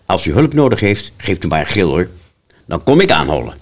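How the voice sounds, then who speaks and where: An actor playing a friendly, polite police officer offering to help. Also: male voice, low-pitched, sing-song, fairly quick. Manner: polite, helpful. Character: friendly middle-aged male police officer. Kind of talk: radio drama acting.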